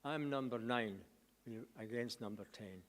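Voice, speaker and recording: A man's voice: mid-range voice, moderate pace. A deaf man with a Scottish accent making a blunt, emphatic statement to a council. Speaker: neighbouring resident. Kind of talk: brief public statement. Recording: long reverb in a hall.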